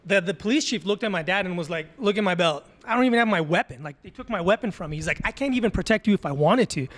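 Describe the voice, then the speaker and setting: A man in his thirties from Azerbaijan whose first language is Hebrew, a podcast guest telling his story in a casual, animated way, acting out quoted people and using lots of fillers. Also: sits high, fast. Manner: animated retelling with quoted voices, many fillers. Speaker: podcast guest, tattoo artist.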